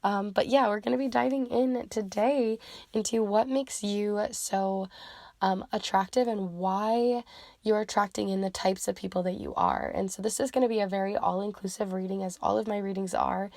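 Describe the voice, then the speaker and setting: A woman reading tarot cards for viewers, chatty and rambling with many fillers, her voice croaky and fading from a cold. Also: medium pitch, steady tone, moderate pace, a little mellow, no room sound. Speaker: tarot reader. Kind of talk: pick-a-card reading intro.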